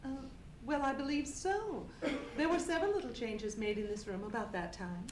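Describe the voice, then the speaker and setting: A woman's voice: a little high, normal speed, natural voice. A woman playing a polite, earnest young lady client in an old-time radio play, answering in a proper, explanatory way. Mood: puzzled, helpful. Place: live theatre stage performance. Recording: echoey stage recording with background noise.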